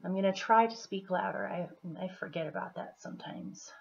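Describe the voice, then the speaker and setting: A woman with a slightly hoarse, scratchy throat, giving a casual tarot reading in an unhurried, deliberate manner with pauses as she explains the cards. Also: medium pitch. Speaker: tarot reader. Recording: dull, muffled lo-fi audio.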